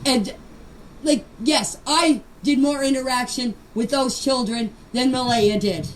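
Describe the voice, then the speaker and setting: High-pitched older woman, emphatic and defensive. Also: a little slow. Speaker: grandmother giving a personal account. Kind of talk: unscripted voice-message monologue. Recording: noisy amateur voice-message clips.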